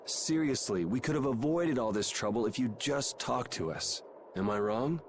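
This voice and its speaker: A man's voice: mid-range voice, lively intonation, moderate pace, a little hiss or hum, natural voice. Actor as a cool, blunt young swordsman. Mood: blunt, questioning. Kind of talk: scripted video game voice acting. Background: game music.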